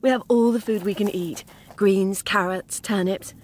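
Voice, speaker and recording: A woman playing a hospitable, placid female rabbit with a husky voice in a radio drama. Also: medium pitch, fairly even intonation, normal speed, a little mellow, close to an everyday voice. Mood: welcoming, placid. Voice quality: husky, breathy. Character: serene, welcoming female rabbit, evasively placid.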